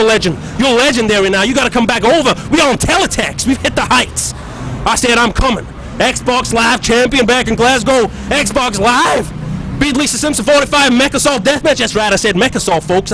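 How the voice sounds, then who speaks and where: Man putting on a brash high-pitched games host. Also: background noise. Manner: swaggering, crude, folksy. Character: brash foul-mouthed American games-show host from Milwaukee. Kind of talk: comedic presenting and street interviews. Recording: music behind, improvised handheld microphone.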